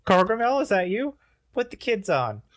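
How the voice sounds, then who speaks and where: A man voicing a goofy, excitable alien father of many children in a high, strained put-on voice. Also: moderate pace, lightly acted. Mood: excited. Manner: over-the-top, excitable.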